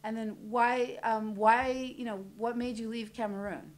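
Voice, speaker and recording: A woman's voice: on the high side, fairly even intonation, measured pace. A woman interviewing a guest, friendly, often restarting her questions.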